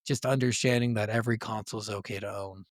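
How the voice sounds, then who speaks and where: A man's voice: lowish voice, steady tone, fast talker, dry recording, studio quality. A man co-hosting a podcast, talking casually and enthusiastically, with slangy speech and frequent swearing. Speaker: podcast co-host. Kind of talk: casual gaming podcast chat.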